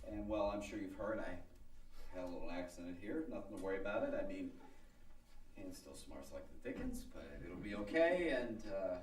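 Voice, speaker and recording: An actor playing a reluctant, bumbling car dealer turned battle champion, halting and unsure, in a radio comedy. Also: male voice, on the low side, lively intonation. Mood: nervous, halting. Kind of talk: old-time radio comedy acting. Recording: roomy distant live stage, faint music.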